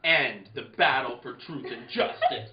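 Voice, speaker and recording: A comedy performer trades jokes between lines. The voice is highly animated. The recording is dull, with heavy noise and room echo. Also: unhurried. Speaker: show performer. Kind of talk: joking comedy banter. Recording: very noisy, roomy, dull live recording.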